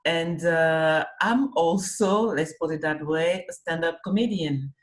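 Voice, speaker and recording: A woman from Cameroon, a comics artist and festival organizer, answering interview questions over a video call, unhurried, often rephrasing as she thinks. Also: low voice. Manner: speaks slowly and searchingly, with fillers and rephrasing. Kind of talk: remote interview, thoughtful casual answers.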